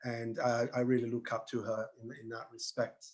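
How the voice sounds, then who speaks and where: A middle-aged man from Tanzania, a panel guest telling his own story earnestly, halting and repeating words, over a video call. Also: medium pitch, monotone. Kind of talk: personal testimony on a panel.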